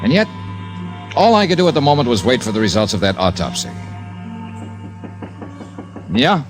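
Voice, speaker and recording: An actor playing a cool, wry freelance insurance investigator in an old-time radio detective drama. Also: male voice, medium pitch, sing-song, normal speed, over music, close to an everyday voice. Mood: narrating crisply. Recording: vintage radio broadcast recording.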